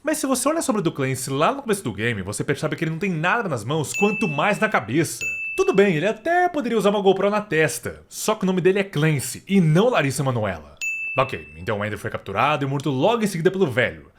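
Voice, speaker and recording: Brazilian male narrator, mock-indignant, slangy, rapid delivery, highish pitch, noise behind the voice. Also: wildly swooping pitch. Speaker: gaming video narrator. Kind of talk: comedic game commentary.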